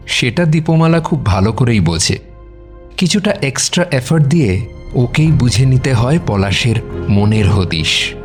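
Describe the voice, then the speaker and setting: A man narrating a romantic audio story in a scripted, dramatic storytelling style. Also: mid-range voice.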